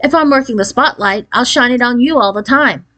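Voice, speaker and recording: A woman's voice: a little high, a little fast, a bit bright, voice pushed up, natural voice. Woman voicing an easygoing young schoolgirl friend. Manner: casual, thoughtful. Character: easygoing, loyal fifth-grade girl, the best friend.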